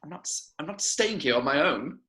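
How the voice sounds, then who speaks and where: A woman's voice: deep voice, animated, fairly quick, a bit bright. A performer reading a sullen, pedantic young man in an amateur table read. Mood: stubborn.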